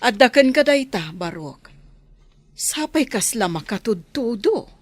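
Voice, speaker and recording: A woman as a lively, kindly Ilocano auntie. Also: fairly high pitch, measured pace. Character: kindly older village aunt. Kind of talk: Ilocano radio drama acting.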